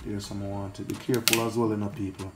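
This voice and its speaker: A man's voice: lowish voice, level tone, fast talker. A man hosting a casual cooking vlog, talking loosely and repeating his catchphrases as he works. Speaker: cooking channel host. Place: shop and street outdoors, then home kitchen. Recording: echoey with loud music behind.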